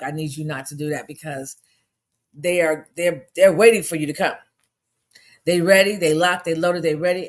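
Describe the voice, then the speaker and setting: A hoarse woman hosting her own podcast, talking in an animated, indignant and emphatic way. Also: lowish voice. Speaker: podcast host. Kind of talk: casual monologue podcast.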